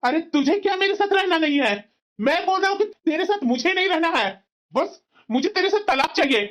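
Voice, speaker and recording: A woman's voice: very high-pitched, lively intonation, fairly quick. A woman voicing an exasperated, aggrieved young husband in a family cartoon, scolding, in a dull lo-fi recording. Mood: angry, fed up. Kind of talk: cartoon voice-over in family comedy drama.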